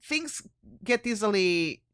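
A woman's voice: mid-range voice, animated, slow pace, fairly bright tone, smooth, no room sound. Brief: woman, podcast co-host, chatty and laughing, dry close sound. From Romania. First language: Romanian. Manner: chatty, laughs often, occasional word slips. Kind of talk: casual podcast chat.

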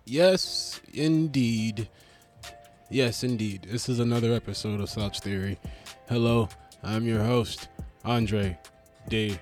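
A man's voice: fairly low pitch, slow, long pauses, a little mellow, faint music behind. A man hosting his own podcast, rambling in a casual, profane, joking way and restarting his intro. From Brooklyn. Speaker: podcast host.

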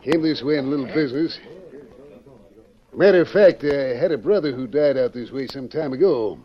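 A man's voice: medium pitch, normal speed, natural voice. An actor playing a wary, laconic man posing as a stranger in town, in an old radio western. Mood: casual, confident. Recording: muffled vintage radio recording.

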